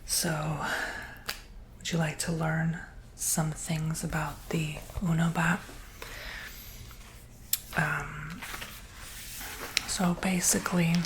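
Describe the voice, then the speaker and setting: Slow whispering man acting as a robot salesman. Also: a little high, a little hiss or hum, heavily acted. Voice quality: breathy whisper. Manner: slow, gentle, reassuring sales patter. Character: soft-spoken, earnest male robot salesperson. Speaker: ASMR roleplay performer. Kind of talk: whispered ASMR roleplay.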